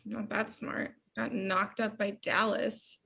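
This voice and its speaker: A woman playing a weary, wry pregnant young sister in a sitcom table read, with a husky voice and a dry, exasperated manner. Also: medium pitch, little pitch movement, slow pace, bright, forceful tone, heavily acted.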